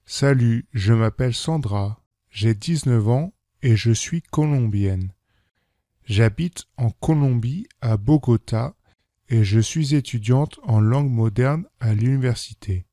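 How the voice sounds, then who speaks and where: A man with a warm, mellow voice reads a short French self-introduction text. He reads with clear, careful articulation. The sound is dry and close to the mic. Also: mid-range voice, slow pace, dry recording. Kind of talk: scripted reading for learners.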